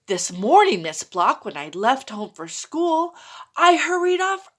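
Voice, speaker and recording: A woman's voice: sits high, very animated, a little slow, heavily acted. A woman voicing a nervous schoolboy who stammers through a long excuse. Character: stuttering schoolboy making up excuses.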